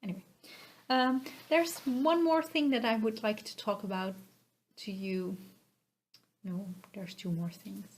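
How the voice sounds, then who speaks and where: Hesitant Dutch-accented woman chatting casually. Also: mid-range voice. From Netherlands. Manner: hesitant, halting, self-effacing. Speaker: podcast host. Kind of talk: casual vlog chat to viewers.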